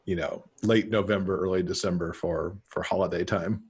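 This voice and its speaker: A middle-aged man chatting casually as a podcast guest on a video call, pausing to think as he goes. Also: sits low, fairly even intonation, husky. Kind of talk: casual conversational interview. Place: home room, on a video call.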